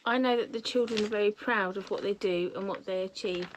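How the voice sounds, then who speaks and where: A woman with a mid-pitched voice gives a scripted testimonial as a school head. Her phrasing is careful and formal, like a prepared statement. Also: fairly quick, a little hiss or hum, rough recording.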